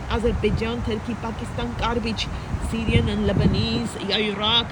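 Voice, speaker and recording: A woman from Armenia talking in an unscripted personal monologue, emphatic and repetitive, piling up lists as she speaks. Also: on the high side. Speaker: vlogger. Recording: loud traffic noise outdoors.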